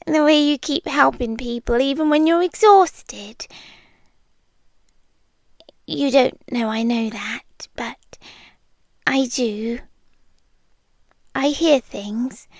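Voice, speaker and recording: A woman acts out a shy eldritch creature girl from an ASMR roleplay, with a British accent and a high voice. She speaks slowly and softly, sometimes whispering, very close and dry, awkwardly smitten yet deadpan. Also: no echo. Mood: earnest, confiding.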